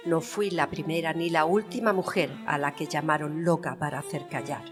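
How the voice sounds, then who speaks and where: Woman acting proud queen, haughty and defiant, lowish voice, very echoey, faint violin music. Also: steady tone, normal speed, lightly acted. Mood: solemn, defiant. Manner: emphatic, haughty, theatrical. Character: proud, bitter, passionate Spanish queen, defiant and indignant. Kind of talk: dramatised historical interview, audio fiction. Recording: long reverberant hall sound.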